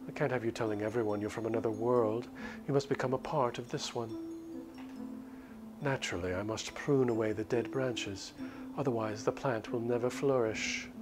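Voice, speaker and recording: A man's voice: medium pitch, close to an everyday voice. A man voicing a sinister, coaxing vampire woman. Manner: pompous, falsely sweet, menacing.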